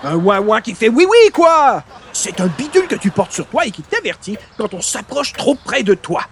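A man playing an excitable, chatty friend in an old-time radio comedy, exclaiming with familiar enthusiasm. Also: high voice, normal speed, slight background noise. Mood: excited. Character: excitable, chatty friend showing off a silly invention.

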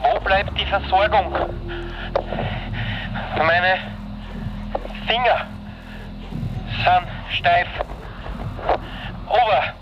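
An actor playing a whiny, freezing man left at his post in a radio drama, speaking in drawn-out phrases. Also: high voice, dull audio, noisy background. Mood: plaintive, pleading. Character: plaintive, freezing man posted outside, talking by radio.

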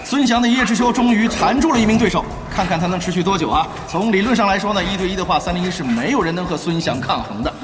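A man playing an excitable, emphatic esports play-by-play commentator in an audio drama, prone to dramatic exclamations. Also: high-pitched, fast talker, hardly any pauses, echoey room, music in the background. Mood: curious, engaged. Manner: emphatic, exclamatory, building to shouts.

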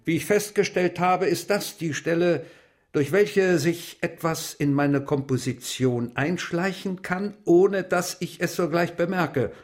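A man playing a melancholy aged French explorer, brooding haltingly over his memories in a radio play monologue. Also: fairly high pitch, lightly acted. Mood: musing.

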